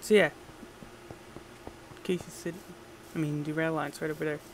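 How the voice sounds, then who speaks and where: A man's voice: fairly high pitch, level tone, normal speed, creaky, background noise. A man giving casual gameplay commentary in an offhand way, muttering to himself as he records an audio log. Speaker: gamer recording audio logs.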